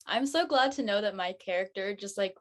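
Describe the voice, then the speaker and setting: A woman's voice: mid-range voice, brisk. A woman who performs in the show, chatting casually as part of a discussion panel. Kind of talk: casual chat.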